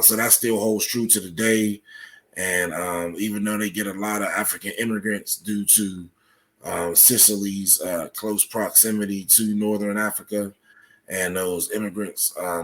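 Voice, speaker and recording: A man giving explanatory answers as an interview guest, speaking in a halting way with frequent filler phrases. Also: on the low side. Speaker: podcast guest, researcher.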